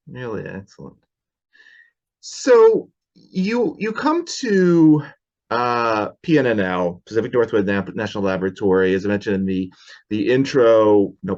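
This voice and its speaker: A man with a fairly low voice hosts the interview and asks long, rambling questions. He hedges often with "you know" and restarts his phrases. His audio sounds dull and lo-fi. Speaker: interview host.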